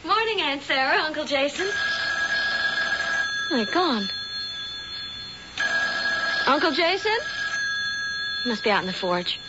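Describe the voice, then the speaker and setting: A woman's voice: high voice, very animated, takes it slowly, long pauses, full character voice. An actress playing a sweet, trusting, eager young woman in a 1970s radio drama. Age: young adult. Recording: dull, very noisy 1970s radio broadcast.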